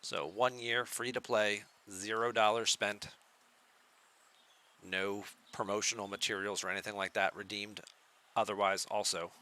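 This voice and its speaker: A man chatting casually on a live game stream, answering viewers in a relaxed, soft-spoken way at a home computer mic. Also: unhurried. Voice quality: soft-spoken, low volume.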